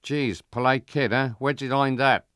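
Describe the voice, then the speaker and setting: Man doing a brusque grocer, raised pitch. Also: fairly even intonation, moderate pace, a bit bright, clear, resonant tone, no room sound, clean studio sound, natural voice. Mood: gruffly generous. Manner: brusque, clipped phrases, tag questions. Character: gruff, kindly Italian immigrant grocer, adult man.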